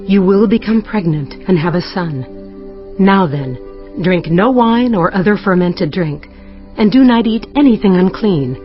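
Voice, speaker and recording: A woman playing an earnest, awed Israelite wife in dramatized scripture, with expressive delivery. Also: fairly low pitch, close to an everyday voice. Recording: dull band-limited sound. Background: background music.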